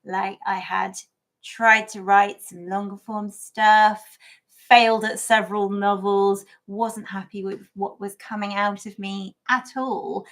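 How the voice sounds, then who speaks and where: A woman's voice: mid-range voice, little pitch movement, slow pace, fairly bright tone. An English woman co-hosting a podcast livestream, speaking candidly and with self-deprecating humor, often laughing. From England. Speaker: podcast co-host and writer. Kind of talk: casual livestream chat.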